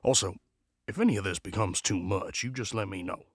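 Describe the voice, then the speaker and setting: A man's voice: fairly low pitch, brisk, close to an everyday voice. A man playing a cautious, protective gunslinger in a western audio drama, with a folksy, advising manner. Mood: steady, cautioning.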